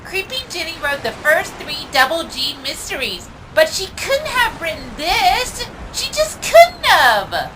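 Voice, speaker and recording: Very high, excitable cartoon pony. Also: female voice, full character voice. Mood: suspenseful, insistent. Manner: theatrical, emphatic, drawn-out words. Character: excitable, quirky young female cartoon pony, dramatic storyteller. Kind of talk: cartoon voice acting in a comic read-along. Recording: clearly noisy background.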